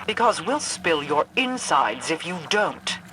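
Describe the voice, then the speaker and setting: An actor playing an aloof, secretive, commanding queen in a video game, measured, guarded and imperious. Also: mid-range voice, sing-song, bright, forceful tone, a little hiss or hum. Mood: menacing. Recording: music underneath. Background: music.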